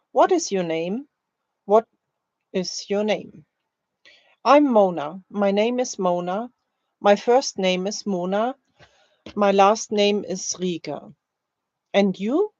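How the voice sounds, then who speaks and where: A woman language teacher reads short drill phrases slowly and deliberately, leaving careful space between them. Her voice sits at an average pitch. The recording is very dry and a little dull. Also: darkish tone, no room sound. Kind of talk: slow, scripted language-learning drill, read aloud.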